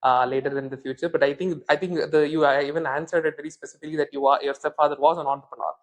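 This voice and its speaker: A man hosting a podcast interview, asking questions in a halting way with many fillers. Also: a little high, steady tone. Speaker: podcast host.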